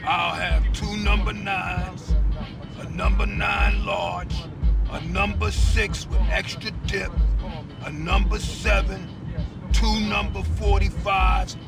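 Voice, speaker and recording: An actor playing a big, hungry gangster in a video game, listing things in a deliberate, drawn-out way. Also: male voice, sits high, fairly even intonation, vocal fry, recorded from a distance, over loud music, heavily acted. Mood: unhurried, hungry. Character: big hungry gangster man ordering fast food. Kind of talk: video game cutscene acting.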